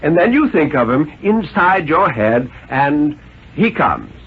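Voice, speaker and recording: A man's voice: a little high, very animated, slow, slightly rough, exaggerated voice. A man playing an overbearing, condescending father who lectures his small son in a pompous, emphatic way, in a 1950s radio reading. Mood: insistent, lecturing. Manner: pompous, insistent, jolly-patronizing, emphatic. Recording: tinny, noisy 1950s radio recording.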